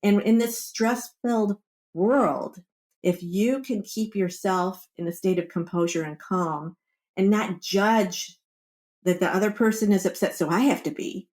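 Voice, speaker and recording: A woman's voice: on the low side, darkish tone. Woman coach giving conversational advice. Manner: explanatory, acts out example quotes. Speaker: wellbeing coach, podcast guest.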